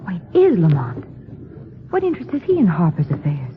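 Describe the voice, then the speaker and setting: A woman's voice: fairly low pitch, huge pitch swings, fairly quick. Observant, uneasy lady sidekick, swooping dramatic delivery, thin phone-like vintage radio drama. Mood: nervous, intrigued. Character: nervous, observant young woman sidekick. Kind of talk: 1940s radio acting. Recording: phone-like, noisy 1940s radio recording.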